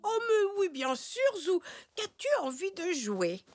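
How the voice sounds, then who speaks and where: A woman's voice: very high voice, big pitch swings, measured pace, heavily acted. A woman voicing a kindly, playful old female zebra in a children's cartoon, affectionate and full of exclamations. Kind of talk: animated children's series dialogue. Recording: music behind the voice.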